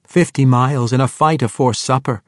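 A man's voice: medium pitch, normal speed, dry recording, clean studio sound, pitched above the performer's own voice, close to an everyday voice. A man doing a spirited warrior woman, mock brogue. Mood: playful. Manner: teasing, mock dwarven brogue.

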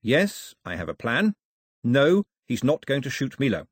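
A man voicing a small, determined, quick-witted island boy leader with a firm, earnest manner in an audiobook story. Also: medium pitch, huge pitch swings, breathy edge, dry recording, exaggerated voice.

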